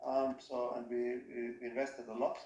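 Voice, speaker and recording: A man from Austria speaks as an interview guest at a middle pitch, with plenty of room echo around his voice. He talks haltingly and keeps restarting his phrases as he feels his way toward each point. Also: monotone, darkish tone, dull audio, a little hiss or hum. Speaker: interview guest, company head. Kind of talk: casual interview talk. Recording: roomy echo.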